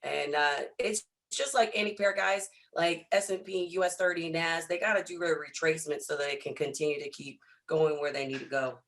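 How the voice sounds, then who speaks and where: Hoarse, hyped-up man with a bright voice. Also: fairly high pitch, moderate pace, hardly any pauses. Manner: energetic, hype-filled, chatty with viewers. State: hoarse, lost his voice. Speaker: trading coach on a live stream. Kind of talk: hyped live trading stream.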